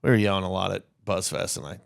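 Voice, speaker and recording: A man co-hosting a podcast in casual comedic chat, telling stories with lively quoted voices. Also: sits low, fast talker, creaky, no echo, clean studio sound. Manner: animated storytelling with put-on quoted voices. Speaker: podcast co-host.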